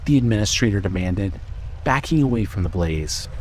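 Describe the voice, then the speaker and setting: A man narrating dark fiction from a script in a dramatic storytelling style. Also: fairly low pitch, expressive, normal speed, background noise.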